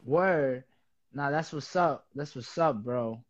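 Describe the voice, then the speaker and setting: A man from Uganda who organizes events, chatting casually with relaxed slang and halting restarts, heard over a remote call. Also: medium pitch, lo-fi sound, crowd noise. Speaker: guest, music artist and event organizer. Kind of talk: casual chat.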